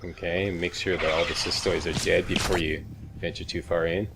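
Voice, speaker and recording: A man with a very deep voice narrates a game walkthrough. He is casual and laid-back, handing out short step-by-step directions, with game ambience clearly audible behind him. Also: flat delivery, normal speed. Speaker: gaming video host. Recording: clear background game noise. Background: video game ambience.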